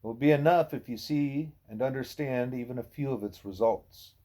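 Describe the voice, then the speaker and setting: A man voicing a wise, patient gorilla teacher who lectures in a deliberate, formal way. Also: medium pitch, dark tone, lightly acted. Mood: patient lecturing.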